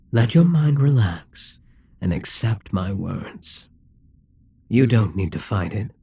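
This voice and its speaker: Man voicing a slow, husky, domineering Demon King. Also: on the low side, darkish tone, dry recording, full character voice. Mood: hypnotic, commanding. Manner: commanding, condescending, deliberate. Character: immortal Demon King, male, cold, calculating, domineering tyrant. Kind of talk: ASMR audio roleplay, dramatic monologue.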